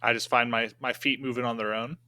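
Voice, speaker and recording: A man's voice: medium pitch, little pitch movement, fast, bright, forceful tone, no echo. A man playing a tabletop game, chatting casually and hesitantly as he thinks aloud.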